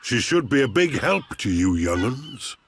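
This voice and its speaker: A man's voice: medium pitch, sing-song, a little slow, a little hiss or hum. A man playing a folksy, avuncular old villager in a video game, encouraging the youngsters. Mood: kindly, encouraging. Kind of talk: scripted video game cutscene acting.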